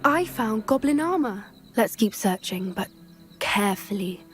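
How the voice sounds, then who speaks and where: An actress playing a cautious, observant young witch in a video game, earnest and measured. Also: mid-range voice, expressive, faint music behind, lightly acted. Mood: cautious, uneasy. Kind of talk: video game cinematic acting.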